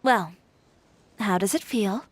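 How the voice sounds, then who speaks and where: A woman's voice: medium pitch, slow pace, no room sound. An actress playing a confident, assertive young female fashion designer in an anime-style game, composed, frank and dryly self-assured. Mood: calm, relaxed. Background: game music.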